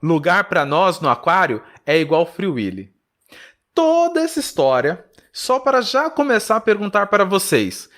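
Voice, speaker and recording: A man's voice: sits high, wildly swooping pitch, moderate pace, a bit bright. A man hosting a casual interview, playful and effusive, teasing and praising his guests. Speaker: podcast host.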